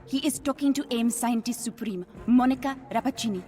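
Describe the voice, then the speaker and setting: A clever young princess inventor from a video game, voiced by a woman. She has a fairly high, earnest voice and an African accent, with music faintly behind. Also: a little fast, dark tone, natural voice. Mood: serious, urgent. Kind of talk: video game cutscene acting.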